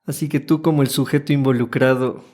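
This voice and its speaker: A man in his thirties with an Ecuadorian Spanish accent, co-hosting a casual podcast chat in an animated, playful way. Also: medium pitch, brisk. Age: 30s. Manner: animated, chatty, playful teasing. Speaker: podcast co-host.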